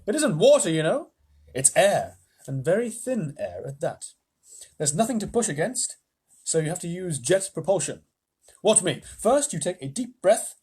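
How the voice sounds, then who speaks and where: A reader voicing an excitable, eccentric male chocolatier who lectures grandly, with a husky edge. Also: mid-range voice. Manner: bouncy, theatrical, instructive.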